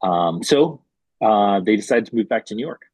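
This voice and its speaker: A man in his forties, from Hawaii, a restaurant executive telling his life story in a relaxed, chatty way with fillers. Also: on the low side, moderate pace, slightly rough, no echo, poor audio. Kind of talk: conversational storytelling.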